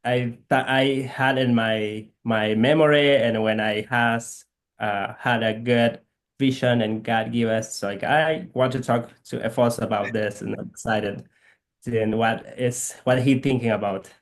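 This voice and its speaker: Halting Guatemalan-accented man. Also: mid-range voice, steady tone. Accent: Guatemalan Spanish. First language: K'iche'. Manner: halting, searching for words. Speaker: guest, physical therapist missionary. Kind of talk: conversational interview.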